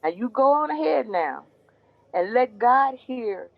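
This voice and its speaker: Woman playing middle-aged churchgoing mother, gentle, coaxing, preacherly, dry close sound, dull lo-fi. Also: a little high, animated, a little slow, gravelly, no echo, full character voice. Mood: urging. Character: loving churchgoing mother of grown son. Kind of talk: audio drama acting.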